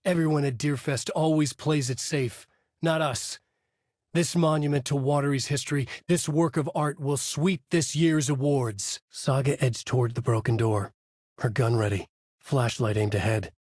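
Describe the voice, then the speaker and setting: A man performs a haunted, brooding male writer narrating a dark video game thriller. His voice is medium in pitch and husky, recorded very dry. He delivers first-person noir prose in a measured, intense, dramatic manner. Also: fairly even intonation, no room sound, close to an everyday voice. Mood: lifted, confident. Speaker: voice actor.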